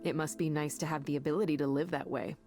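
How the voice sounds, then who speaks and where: A woman's voice: sits low, steady tone, fast, a little mellow. A woman acting an earnest, idealistic tech worker in a visual novel game, speaking in a conversational, impassioned way. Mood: impassioned, pleading. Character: earnest, idealistic woman defending her mental-health tech work. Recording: music behind the voice.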